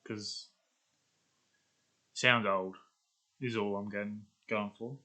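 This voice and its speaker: A man's voice: fairly low pitch, fairly even intonation, drawn-out words, pushed and bright. A man chatting casually as he tries out voice-over script readings.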